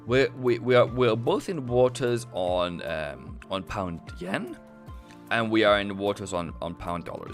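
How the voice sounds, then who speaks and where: A man's voice: lowish voice, fairly bright tone. A man from Norway chatting casually on a live trading stream. He rambles, laughs often and restarts his sentences a lot. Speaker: forex trader on a live stream.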